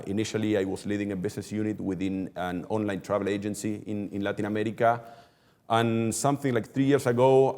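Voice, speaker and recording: Man, middle-aged, low-pitched, steady explanatory manner, interview guest. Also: vocal fry. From Argentina. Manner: steady, explanatory, often repeats words while thinking. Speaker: logistics executive, guest. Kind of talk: webinar interview answers. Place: company headquarters office.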